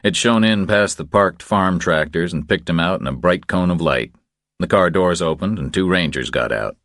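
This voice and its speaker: A man narrating a crime thriller audiobook in a measured, terse storytelling manner. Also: low-pitched, fairly bright tone, dry recording, studio quality.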